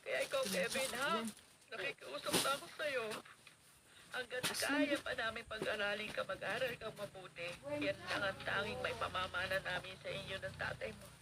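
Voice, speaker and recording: An actress playing a poor, loving mother who speaks gently and earnestly in a Filipino radio drama. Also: medium pitch, steady tone, normal speed, lightly acted. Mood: tearful pleading. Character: poor, loving, guilt-ridden mother pleading with her son. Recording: very noisy radio drama recording.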